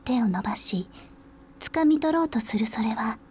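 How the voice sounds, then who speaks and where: An actress playing a gentle young woman in a Japanese visual novel, softly intimate and playfully polite. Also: a little high, lively intonation, quick pace, slight background noise, lightly acted. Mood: wistful, hushed.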